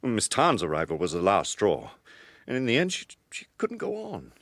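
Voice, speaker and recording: Anxious, protective British gentleman in radio detective drama; lowish, hesitant, very dry close sound. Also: huge pitch swings, moderate pace, no room sound, exaggerated voice. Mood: distressed, halting. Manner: hesitant, faltering, apologetic.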